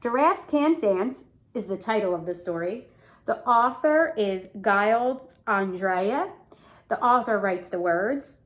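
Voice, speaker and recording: A woman with a medium-pitched voice reads a picture book to young children. She speaks as a teacher does, asking questions and explaining words along the way. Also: animated, slow. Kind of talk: children's story read-aloud with questions.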